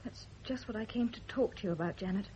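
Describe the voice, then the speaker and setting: A woman's voice: mid-range voice, quick pace, warm tone, husky. An actress playing a distraught, loyal woman who pleads earnestly in a radio drama. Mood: pleading. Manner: earnest, beseeching. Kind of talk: 1970 radio drama acting. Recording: dull, band-limited old radio recording.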